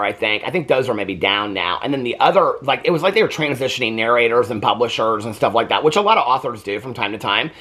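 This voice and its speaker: A man's voice: medium pitch, bright, forceful tone, slightly rough. A man chatting casually and unscripted to viewers as a book vlogger, rambling along with emphatic retellings and quoted asides. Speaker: book vlogger and book club host.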